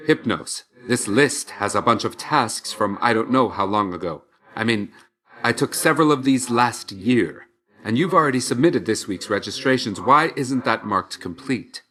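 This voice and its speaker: Low voiced death god for a video game, aloof n measured, kinda quietly caring, trails off soft. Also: male voice. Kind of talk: video game character voice acting.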